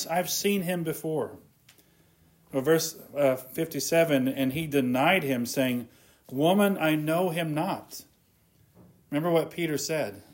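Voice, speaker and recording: Man, sermon, earnest, retells verses plainly, slightly high voice. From not from Scotland. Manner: earnest, retells scripture in his own words. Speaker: preacher.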